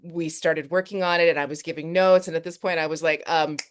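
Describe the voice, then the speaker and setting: A woman from Texas, a film director and actress, answering interview questions with quick restarts and repeated words. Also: low voice, steady tone, clear, resonant tone.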